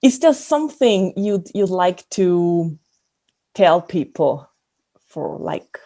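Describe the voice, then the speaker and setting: A woman hosting an interview, asking questions in a soft, giggly way over a video call. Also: on the low side, big pitch swings, takes it slowly. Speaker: host. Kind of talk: casual interview chat.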